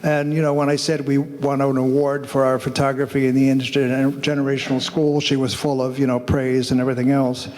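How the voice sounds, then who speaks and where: A man's voice: medium pitch, little pitch movement, a little fast, hardly any pauses, darkish tone, soft background music. An older man on a panel, sharing anecdotes in a conversational way and often correcting himself. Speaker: panelist, older adult robot tester. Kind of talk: panel discussion. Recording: hall reverb on the voice.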